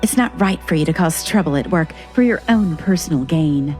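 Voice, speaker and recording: An actress playing a bossy, controlling older sister who talks down to others in an audio drama. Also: female voice, low-pitched, lively intonation, normal speed, darkish tone, faint music behind. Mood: scolding. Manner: condescending and commanding.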